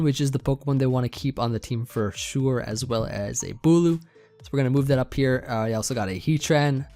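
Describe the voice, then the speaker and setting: Relaxed rambling young man, slightly hoarse. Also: mid-range voice. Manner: relaxed, rambling, many fillers and restarts, light laughs. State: getting hoarse. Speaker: competitive Pokemon team builder. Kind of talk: casual gaming commentary over team building.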